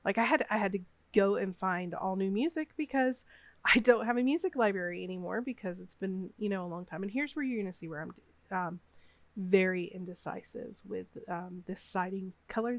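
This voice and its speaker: A woman's voice: medium pitch, brisk, no echo. A woman in her thirties with a slight cold, rambling hesitantly with many fillers in a casual voiceover over a game build. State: has a cold, a bit sniffly. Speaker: gaming YouTuber.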